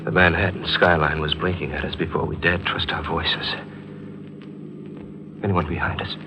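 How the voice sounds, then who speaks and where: An actor playing a guilt-haunted writer who narrates a thriller in melodramatic, brooding old-time radio style. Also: male voice, low voice, level tone, moderate pace, background noise. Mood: morbidly fascinated. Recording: vintage radio drama with music bed.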